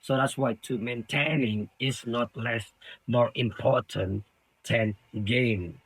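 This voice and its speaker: Male non-native speaker with an Indonesian accent. Medium pitch, a little husky. Informal study-tips monologue, halting, circles back over words. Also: steady tone, a bit bright, rough recording. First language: Indonesian, Sundanese and Javanese. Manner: halting, repeats words and phrases. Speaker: language learner giving study tips. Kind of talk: informal monologue giving advice.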